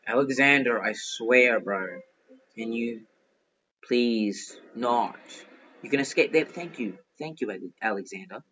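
Male gaming host, bright and forceful, casual commentary, slightly lo-fi home recording. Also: medium pitch, a little hiss or hum.

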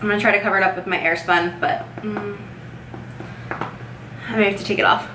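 A woman's voice: medium pitch, fairly even intonation, brisk. A young woman in her twenties rambling casually while doing her makeup for a vlog in her bedroom, filling her talk with "like". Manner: rambling, filler-heavy, upbeat chatter while doing makeup. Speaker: vlogger. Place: bedroom, indoors. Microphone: camera mic at desk distance. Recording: echoey room with faint noise.

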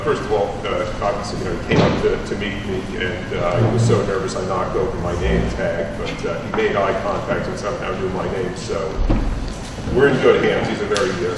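A man, speaking on a discussion panel in a dry, analytical way with many fillers. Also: low voice. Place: large room with panel table. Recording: echoey room from far off, noisy.

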